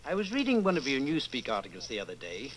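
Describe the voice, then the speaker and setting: An actor playing an urbane, guarded party official, formal and measured, in an old-time radio drama. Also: male voice, mid-range voice, huge pitch swings, fairly bright tone, a little hiss or hum, poor audio. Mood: smooth, guarded. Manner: formal, measured, hesitant asides.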